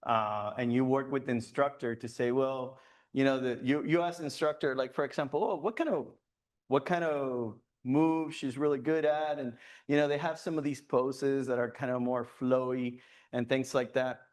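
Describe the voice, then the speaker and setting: A man speaking at a club meeting in a loose, conversational way, often stopping and restarting with fillers. His voice is smooth and clear at a middle pitch. It sounds like a relaxed talk in a meeting room. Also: moderate pace. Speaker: guest speaker at a photography club. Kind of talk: presentation with audience questions.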